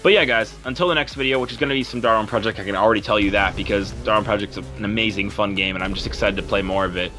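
A young man with a low, deep voice and a bright, forward edge to his tone. He talks in a rambling, earnest, apologetic way over gameplay, like a creator speaking straight to his viewers. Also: very fast, hardly any pauses, faint music behind. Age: young adult. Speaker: YouTuber and streamer.

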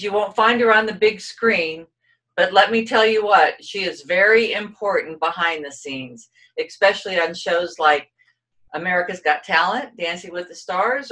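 A middle-aged woman hosting a talk show interview, upbeat, with quick replies, over a video call. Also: medium pitch, pushed and bright, low-quality recording. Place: home room with framed pictures, video call. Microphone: webcam on video call.

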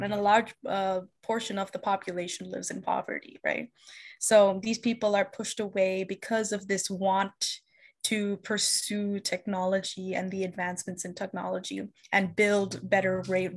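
A young woman from Sri Lanka speaking in an online class discussion, hesitant, with many fillers and restarts. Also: medium pitch. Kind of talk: casual discussion. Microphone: video call.

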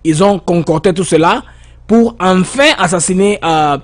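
A man interviewing a guest, pressing him with questions and filling pauses with hesitations. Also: high-pitched. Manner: pressing, stammers and fills with euh.

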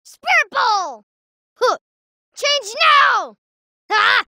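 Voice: very high voice, expressive, drawn-out words, pushed and bright, no echo, clean studio sound, exaggerated voice. An actor voicing a feisty young male anime fighter for a video game, in short exclamatory battle cries. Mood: fierce, heroic. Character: feisty young male cartoon fighter, boyish martial-arts hero.

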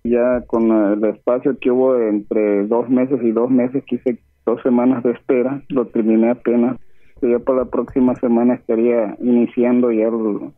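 A man's voice: mid-range voice, little pitch movement, normal speed, no echo. A man in his fifties from Chiapas calling in, politely listing his treatment and symptoms in order. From Chiapas, Mexico. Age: 50s. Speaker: caller. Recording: dry phone line.

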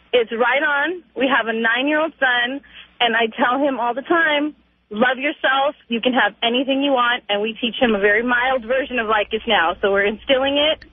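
A woman calling a radio show as a fan, talking casually in an excited, gushing way. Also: sits high, breathy edge. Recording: dull, slightly noisy phone line.

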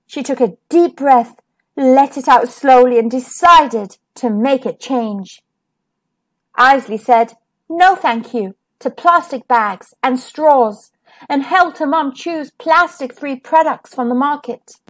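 Woman, high voice, slow pace, picture-book narration, expressive, emphasized key words. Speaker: storyteller, host of a children's read-aloud show. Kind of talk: children's picture-book read-aloud.

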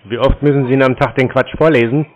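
A man speaks bluntly and dismissively in a casual phone conversation. His voice is medium-pitched, with the narrow, muffled sound of a telephone. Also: steady tone, fast talker, slight background noise. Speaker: prankster taking scam calls. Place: phone call.

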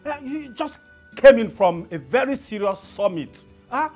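A performer playing a palace adviser begging the king to calm down. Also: mid-range voice, a little slow, soft background music. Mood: pleading. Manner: pleading, placating.

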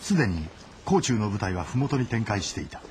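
A man's voice: on the low side, sing-song, normal speed, noisy background. A man delivering dramatic scripted narration of a story as its narrator. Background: loud music.

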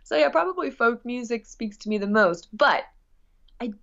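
A woman's voice: fairly high pitch, expressive, normal speed, vocal fry, a bit bright, lo-fi sound. A woman guest chatting casually in an interview about her work as a musician, rambling expressively with frequent asides.

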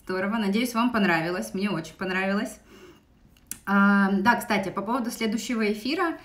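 A woman's voice: medium pitch, brisk, fairly bright tone. A young woman with a Russian accent, teaching an English lesson on a live stream, speaking into a phone's front camera in a small room and switching between English and Russian with many fillers. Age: young adult. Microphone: phone held close, front camera.

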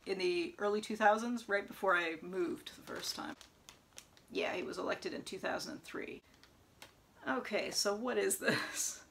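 A young woman chatting casually about new books at home, sometimes reading back-cover blurbs aloud in an even tone, recorded by a camera close in front of her. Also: mid-range voice. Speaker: book vlogger. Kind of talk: casual book haul chat with read-aloud blurbs. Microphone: camera in front at close range.

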